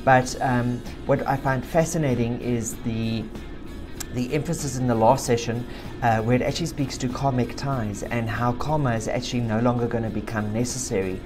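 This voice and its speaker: A South African woman with a very deep voice narrates a spiritual update, reading transcripts aloud in an emphatic way. Music plays clearly behind her. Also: fairly even intonation, normal speed. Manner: emphatic, forceful when reading the client. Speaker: video host, spiritual practitioner. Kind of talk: narration read from session transcripts.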